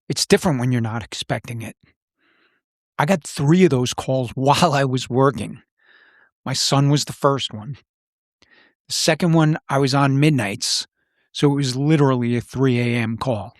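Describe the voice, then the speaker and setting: Middle-aged man, medium pitch, serious, plain-spoken, reflective podcast storytelling, dry recording. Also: moderate pace, no room sound. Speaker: podcast host, former police officer. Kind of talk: reflective personal storytelling in conversation.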